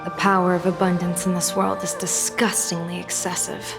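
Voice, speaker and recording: A woman voicing a composed, shrewd general who speaks in a calm, deliberate way, in a game cutscene. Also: lowish voice, gravelly. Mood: disgusted. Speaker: game character. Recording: game cutscene with reverb and music.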